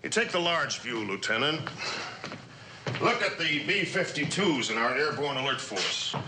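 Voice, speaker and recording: A man's voice: mid-range voice, animated, unhurried, natural voice. A man acting a stern, demanding Air Force general in a vintage suspense drama, with a clipped, commanding, admonishing manner. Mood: firm, lecturing. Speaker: actor in a radio and television drama. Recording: vintage drama soundtrack with background noise.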